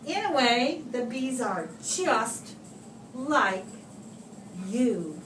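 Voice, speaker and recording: Slow-paced woman reading aloud to children. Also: on the high side. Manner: expressive, deliberate phrasing with pauses for young listeners. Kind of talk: children's picture book read-aloud. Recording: roomy, distant microphone, clear background noise.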